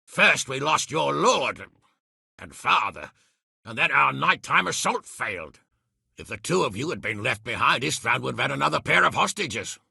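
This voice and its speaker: A hot-tempered medieval lord and commander from a video game. His voice is very forceful and hard-edged, gravelly and husky, with blunt, blustering delivery. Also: medium pitch, very animated, normal speed, dry recording, studio quality, heavily acted. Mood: grave, weary. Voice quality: raspy, gravelly. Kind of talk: dramatic video game voice acting.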